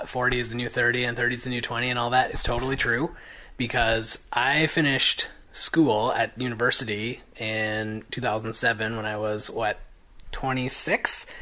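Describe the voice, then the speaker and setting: Bright, chatty man in his thirties. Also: medium pitch. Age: 30s. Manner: chatty, self-correcting. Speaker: interviewee. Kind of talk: casual chat.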